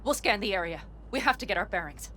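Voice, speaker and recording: An actress playing a fiery, tough young nomad driver in a video game, with a blunt, urgent, hard-swearing delivery. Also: female voice, medium pitch, animated, quick pace, fairly bright tone, a little hiss or hum, lightly acted. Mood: tense, focused. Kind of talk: dramatic video game voice acting.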